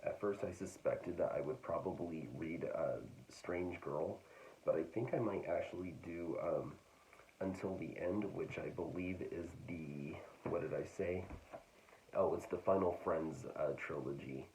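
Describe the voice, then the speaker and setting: A man casually talking through a book haul, hesitant and full of fillers, his voice getting raspy. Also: sits low, unhurried, darkish tone, a little hiss or hum, poor audio. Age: adult. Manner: hesitant, full of ums and restarts. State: getting raspy, clearing throat. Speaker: book vlogger.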